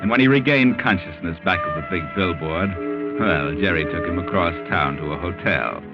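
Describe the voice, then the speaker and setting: An actor playing a knowing, sardonic American storyteller narrating a 1940s radio mystery. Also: male voice, sits low, expressive, moderate pace. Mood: knowing, wry. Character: sly, omniscient mystery storyteller. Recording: muffled 1940s radio recording. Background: music.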